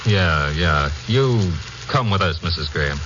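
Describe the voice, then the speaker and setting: An actor playing a brisk, matter-of-fact policeman in a 1950s radio drama. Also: deep voice, expressive, noisy background. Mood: brisk, businesslike. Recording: muffled 1950s radio recording.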